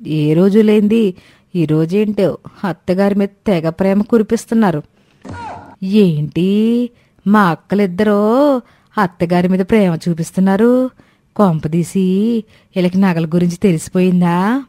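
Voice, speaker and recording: A woman's voice: medium pitch, warm tone, no room sound, close to an everyday voice. A woman voicing a greedy young daughter-in-law, gushing and calculating, in a cartoon moral story. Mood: suspicious, smug.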